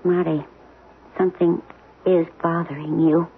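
A woman's voice: fairly low pitch, level tone, a little slow, husky. An actress playing the concerned, questioning wife of a troubled man in a naturalistic 1970s radio drama. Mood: worried. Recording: muffled, narrow-band old radio broadcast.

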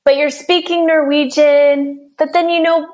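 A bubbly woman hosts the interview, her talk full of fillers and quick giggles. Her voice sits a little higher than usual, bright and friendly. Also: steady tone. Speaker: podcast host.

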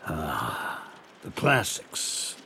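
Gravelly, sardonic noir detective. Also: male voice, extremely low pitch, wildly swooping pitch, heavy background noise, exaggerated voice. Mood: dry, wry. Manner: dry, wry, measured delivery. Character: sardonic, world-weary 1930s male private detective. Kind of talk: scripted noir audio drama acting.

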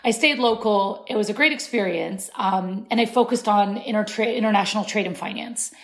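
A woman's voice: medium pitch, fairly quick, a bit bright. A woman in her thirties, born in Syria, speaking as an interview guest in a thoughtful way with many restarts. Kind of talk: conversational interview.

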